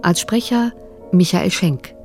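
A woman reads formal closing credits in a lowish, dark, mellow voice. She is measured and unhurried, with faint music behind her. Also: lively intonation. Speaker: announcer. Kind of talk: scripted closing credits announcement.

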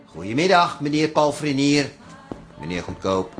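An actor playing a cheeky, smooth-talking middle-aged boyfriend, breezy and mock-polite, in a radio drama. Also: male voice, on the high side, big pitch swings, moderate pace, a bit bright, soft background music.

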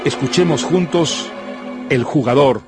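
A man presenting a radio novel with scripted literary narration in a formal, measured, erudite way. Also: on the high side. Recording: music behind the voice.

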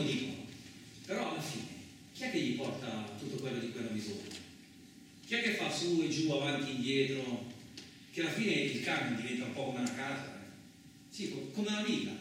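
A man's voice: medium pitch, a bit bright. A male actor playing a boastful, rambling truck driver with touchy pride in a chatty, self-justifying radio monologue. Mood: defensive griping. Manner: chatty, self-justifying, punctuated with rhetorical asides. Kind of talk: theatrical radio monologue, colloquial storytelling. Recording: very echoey, distant, clearly noisy recording.

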